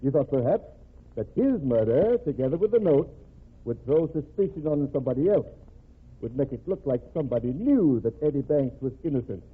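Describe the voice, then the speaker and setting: Tinny, husky, dignified governor. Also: male voice, mid-range voice, lively intonation. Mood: stern, suspicious. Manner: formal, measured, authoritative. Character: stern, dignified state governor, formal and unbending. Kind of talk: old-time radio crime drama acting. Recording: tinny band-limited old radio recording.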